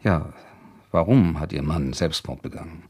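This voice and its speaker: Very low, husky, questioning amateur sleuth. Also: male voice, normal speed, a little mellow. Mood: insistent. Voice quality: husky, gravelly. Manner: probing, hesitant, questioning. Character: inquisitive male crime writer turned amateur investigator, doubtful, persistent. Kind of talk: German radio crime drama acting.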